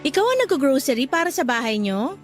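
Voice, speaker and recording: A woman playing a gentle, anxious young woman in a Tagalog radio drama, with a Filipino accent. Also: high-pitched, sing-song, fairly quick. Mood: friendly, light. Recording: music bed behind the voice.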